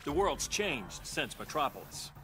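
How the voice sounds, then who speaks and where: An actor playing an unrepentant, domineering superhero turned tyrant in a video game, resolute and imperious. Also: male voice, fairly high pitch, big pitch swings, measured pace, heavy background noise. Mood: cold resolve. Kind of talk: video game character dialogue. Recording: loud music under dialogue.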